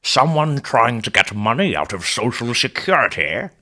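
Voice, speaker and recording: A man's voice: medium pitch, big pitch swings, moderate pace, bright, forceful tone, voice pushed up. A man voicing an old, stooped, weary male superhero with a surprisingly strong, slightly wheezy voice and a dry, sardonic manner. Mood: wry.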